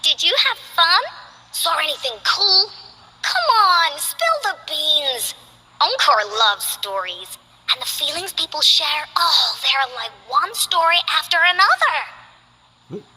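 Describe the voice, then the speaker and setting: Chirpy story-loving little girl from a game, high bouncy voice, slow and drawn out. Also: big pitch swings, pushed and bright, exaggerated voice, rough recording. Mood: eager. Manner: eager, chatty, coaxing questions. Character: bubbly cheerful young girl who loves stories. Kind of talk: game character voice lines.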